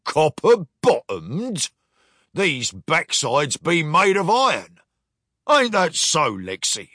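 A man's voice: fairly high pitch, wildly swooping pitch, slow, bright, forceful tone, dry recording, clean studio sound, pitched above the performer's own voice, full character voice. Man putting on a swaggering storybook pirate captain, hot-headed. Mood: blustering. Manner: blustering, hearty.

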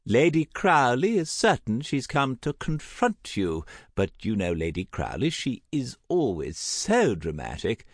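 A man voicing a lively older aristocratic woman who gossips, in a gushing, theatrical and emphatic manner for an audiobook. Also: mid-range voice, measured pace, hardly any pauses, dry recording, studio quality, pitched above the performer's own voice, heavily acted. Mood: breezy gossip. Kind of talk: audiobook character acting.

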